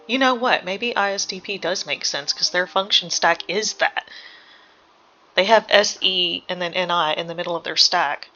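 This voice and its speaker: A woman giving casual, analytical game reaction commentary, hesitant and thinking out loud. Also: fairly low pitch, normal speed, highs cut off, soft background music. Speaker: reaction video host.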